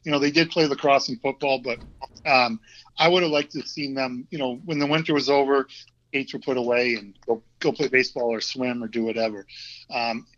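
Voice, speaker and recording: A man in his fifties with a Vermont accent talks easily as a podcast guest. He speaks at a middling pitch and often stops with ums and starts over. The sound is dull and a little lo-fi. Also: fairly quick. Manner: relaxed, hesitant, many ums and restarts. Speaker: guest, former hockey player. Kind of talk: casual interview chat. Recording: dull lo-fi audio.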